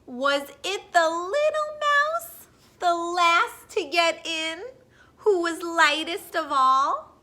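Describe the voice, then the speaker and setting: A woman reading a children's picture book aloud expressively, in a sing-song way, pausing to put questions to young listeners. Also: extremely high pitch, lively intonation, bright, forceful tone.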